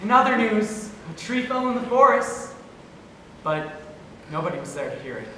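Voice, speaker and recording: A woman's voice: mid-range voice, sing-song, moderate pace, natural voice. Woman acting a news anchor in an echoey hall. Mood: newsreader brightness. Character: perky deadpan late-night TV news anchor woman. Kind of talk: mock news bulletin on stage. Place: stage in a hall, far camera. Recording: echoey stage recorded from far off, noisy.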